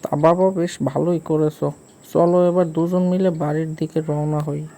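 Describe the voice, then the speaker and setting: Cartoon jinn in an old man's guise, played by a man: high-pitched, mellow, kindly village elder. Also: level tone, moderate pace, lightly acted. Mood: fatherly, coaxing. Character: jinn disguised as an elderly village father-in-law.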